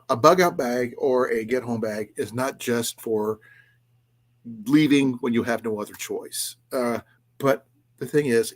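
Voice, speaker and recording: A man with a slightly raspy voice hosting a casual livestream, talking in a halting, rambling way with restarts and trailing asides as he chats with viewers. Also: mid-range voice. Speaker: livestream host of an outdoors and preparedness channel. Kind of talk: casual livestream chat, reading and answering viewers' comments.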